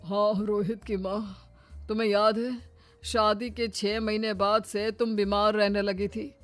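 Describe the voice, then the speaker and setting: Cartoon father-in-law, acted: older man, mild peacemaker, patient and measured, music underneath. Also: medium pitch, flat delivery, lightly acted. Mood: reasoning. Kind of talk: Hindi animated moral story acting.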